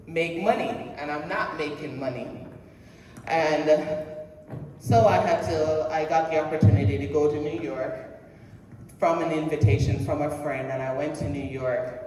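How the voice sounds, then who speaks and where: Slow woman in an echoey hall. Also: sits low, a little mellow. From Jamaica. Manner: slow, deliberate, asks the audience questions. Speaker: presentation speaker. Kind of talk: personal motivational speech. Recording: echoey hall heard from a distance.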